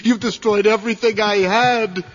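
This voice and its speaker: A man's voice: high-pitched, fairly even intonation, normal speed, fairly bright tone. An actor playing an anguished, defiant, frightened man haunted by his dead twin brother in a radio horror drama. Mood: bitter, defiant. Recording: dull vintage radio recording, faint music.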